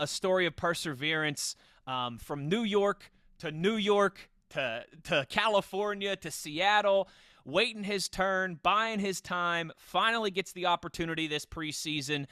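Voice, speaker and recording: Bright, clear-voiced man hosting sports talk. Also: fairly high pitch, no echo, clean studio sound. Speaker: podcast host.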